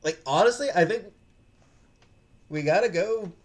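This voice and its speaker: A man's voice: sits high, a little slow. A man streaming a visual novel, switching between casual chat with viewers and reading narration aloud.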